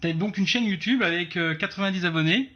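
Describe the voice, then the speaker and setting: A man hosts a casual interview over a video call. His voice is bright and sits a little high, and the sound is dull and lo-fi. He speaks haltingly and restarts his sentences often. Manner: halting, many fillers and restarts. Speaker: interviewer host.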